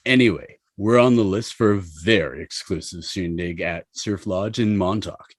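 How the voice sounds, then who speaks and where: A man acting out a cocky finance-bro ghost at a table read. His voice sits fairly low and is recorded dry, with a boastful manner that is easily exasperated. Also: normal speed, no echo. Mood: bragging.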